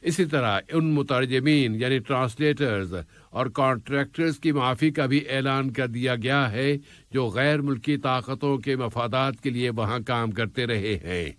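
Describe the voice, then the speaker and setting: A man reading a scripted news bulletin in a formal, measured, literary register. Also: mid-range voice, a bit bright, dry recording. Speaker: news reader.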